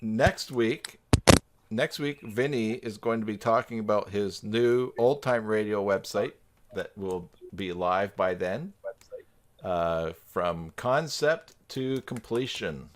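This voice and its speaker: Male stream host, lowish voice, loose and jokey, chatting on a video call. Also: slow. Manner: joking, chuckling. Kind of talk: casual group chat on a video call.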